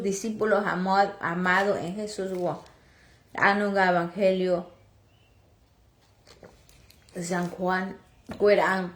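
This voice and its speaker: Slow, deliberate woman preacher, Chatino accent. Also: medium pitch, roomy sound. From Oaxaca, Mexico. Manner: slow, halting delivery with restarts and self-corrections. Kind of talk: slow Bible reading and sermon, translated into Chatino.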